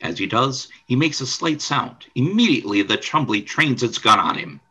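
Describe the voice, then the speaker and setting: A man's voice: mid-range voice, animated, normal speed, sharp tone, breathy edge, muffled recording. A man reading scripted scene narration for a radio play. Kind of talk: scripted narration of stage directions.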